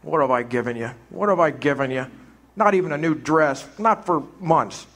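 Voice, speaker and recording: A man's voice: on the high side, moderate pace, a bit bright. A man playing an earnest, frustrated young small-town husband in an amateur stage radio drama, speaking emphatically and stammering when upset. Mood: dejected.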